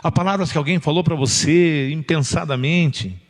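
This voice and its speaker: A man's voice: on the high side, normal speed. A middle-aged Brazilian man giving an informal sermon as a pastor, conversational and jocular, often tossing out rhetorical questions. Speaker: pastor preaching. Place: church building, preaching to a congregation. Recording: long reverberant hall sound.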